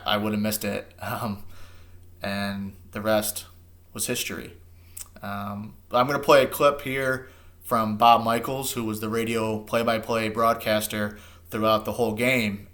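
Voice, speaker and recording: A man's voice: fairly low pitch, fairly bright tone. An American man in his thirties reminiscing casually, in a sincere, nostalgic way. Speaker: host sharing a personal memory. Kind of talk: casual reminiscing monologue to camera.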